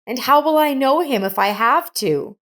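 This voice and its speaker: Woman narrator playing determined young woman, caring, raised pitch, insistent, reassuring, storybook heroine. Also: animated, moderate pace, a bit bright. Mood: anxious, questioning.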